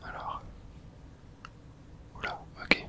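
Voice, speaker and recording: A hushed, fully whispered voice narrates a video game playthrough in ASMR style. The delivery is casual and unguarded, muttered as if to oneself, with no voiced tone. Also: extremely slow. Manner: whispering throughout; casual swearing, muttering to self.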